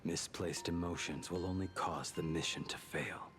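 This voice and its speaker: A man's voice: low-pitched, steady tone, normal speed, over music, close to an everyday voice. An actor voicing a stoic, resolute man in a video game, speaking in terse, restrained phrases with blunt resignation. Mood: resolute, grave. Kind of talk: scripted video game voice acting.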